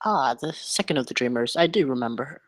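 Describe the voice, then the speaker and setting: A man acting an educated, melancholy doctor in a script table read, speaking in a formal, measured, articulate way. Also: medium pitch, lively intonation, a bit bright, dry recording, close to an everyday voice. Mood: wistful. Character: educated melancholy male doctor, dreamy captive scientist.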